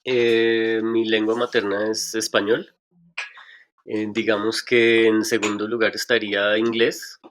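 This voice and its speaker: A middle-aged man answers interview questions slowly and thoughtfully, often pausing and restarting. His voice is low and even. Faint noise sits behind him. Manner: hesitant, thoughtful, many restarts. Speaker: guest, stage performer.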